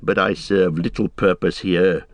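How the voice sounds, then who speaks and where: A man's voice: sits low, steady tone, measured pace, dry recording, voice pushed down. A man reading the lines of an aging king, weary but defiant, in a grave, stately way.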